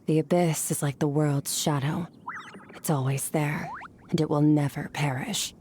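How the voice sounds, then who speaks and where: A woman playing an aloof, stoic swordswoman in a video game, speaking in a cool, measured, formal way. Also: low-pitched, fairly even intonation, mellow, slight background noise. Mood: solemn.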